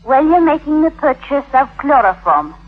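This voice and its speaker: An actress playing a cunning, coy young Victorian wife with formal, deliberate speech in a vintage radio drama. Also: female voice, sits high, very animated, faint music behind. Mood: cool, formal. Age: young adult. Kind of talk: 1950s radio drama acting. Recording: muffled vintage radio broadcast.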